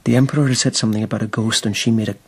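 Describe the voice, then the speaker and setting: An actor in a radio drama playing an earnest, lovelorn police lieutenant of old Japan, husky and quietly intense. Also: male voice, on the low side, fairly even intonation, racing. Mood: earnest, pleading. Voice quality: husky, breathy.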